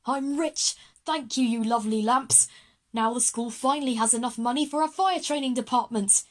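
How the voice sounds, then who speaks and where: A woman's voice: on the high side, normal speed, natural voice. A woman playing a kindly, eccentric male headmaster in a children's audio drama. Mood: enthusiastic.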